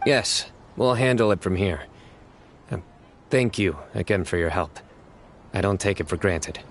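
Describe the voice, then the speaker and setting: An actor playing a stern, reserved young desert warrior investigator who speaks tersely in a video game. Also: male voice, low voice, animated, measured pace, a little hiss or hum. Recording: game music behind.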